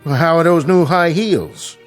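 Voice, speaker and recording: An actor playing a slick, cajoling showbiz agent in a radio drama, breezy and slangy. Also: male voice, on the high side, a little slow, soft background music, natural voice. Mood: coaxing, brisk.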